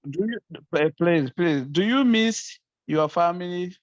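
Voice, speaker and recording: Slow halting man on a lo-fi call. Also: high voice, lively intonation, no echo. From South Africa. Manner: halting, short answers. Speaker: student. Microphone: video call.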